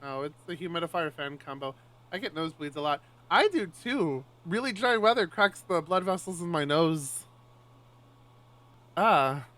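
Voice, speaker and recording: A man streaming live, reading a visual novel aloud in an expressive, swearing style with loud outbursts. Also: a little high, normal speed.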